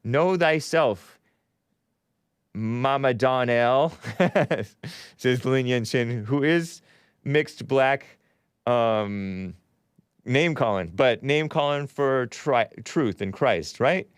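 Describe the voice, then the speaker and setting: A man's voice: mid-range voice, expressive, very slow, long pauses, smooth, dry recording, clean studio sound. A man hosting a call-in show, arguing scripture with callers and reading out viewer messages, with chuckles as he reads. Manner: argumentative, quotes scripture, chuckles while reading.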